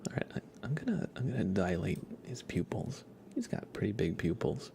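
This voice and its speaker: A man livestreaming a game in ASMR style, softly whispering in a relaxed, casual way as he thinks aloud and chats with viewers. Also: lowish voice, fairly quick. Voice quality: soft, breathy whisper.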